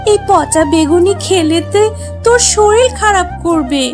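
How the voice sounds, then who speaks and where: A woman as a high-pitched fussing cartoon mother. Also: expressive, unhurried, over music. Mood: chiding. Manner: chiding, coaxing. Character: fussing, caring animal mother of a young girl. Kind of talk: children's cartoon voice acting.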